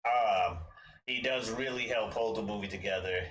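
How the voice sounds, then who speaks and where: Bright-voiced man retelling a movie. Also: lowish voice, moderate pace, slight background noise, rough recording. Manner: animated retelling, acting out scenes. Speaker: podcast co-host. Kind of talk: casual movie discussion.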